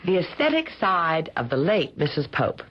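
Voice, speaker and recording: A woman's voice: low-pitched, sing-song, normal speed, breathy edge, full character voice. A woman acting in a radio drama as a wry, teasing but affectionate wife and hostess, with dry banter. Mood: wry, hospitable. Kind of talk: radio theater acting, naturalistic dialogue. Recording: muffled, dull old radio broadcast.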